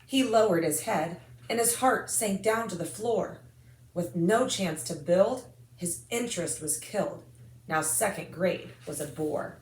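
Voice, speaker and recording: An American woman reading a rhyming children's picture book aloud in an expressive, singsong rhythm. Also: lowish voice, unhurried. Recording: echoey room.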